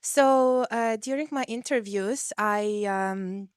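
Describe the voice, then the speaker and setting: Young female PhD student on a video call; smooth, clear voice, thoughtful and earnest. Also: on the high side, slow, no echo, clean studio sound. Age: young adult. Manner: thoughtful, many fillers, earnest. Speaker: PhD student, panel guest. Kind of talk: casual panel answers.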